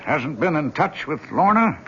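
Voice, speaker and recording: An American actor playing a genial, tactful book publisher in an old radio drama, conversational and slightly halting. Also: male voice, on the high side, big pitch swings, pushed and bright. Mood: tactful unease. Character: genial, tactful male book publisher, hesitant with awkward news. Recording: muffled, faintly hissy old radio recording.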